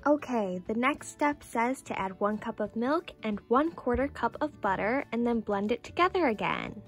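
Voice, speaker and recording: A cheerful doll girl, played by a woman, speaks in a fairly high voice over music. She is sweet and enthusiastic, explaining things step by step like the host of a toy play video. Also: expressive, moderate pace. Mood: cheerful, instructional. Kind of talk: scripted doll play narration.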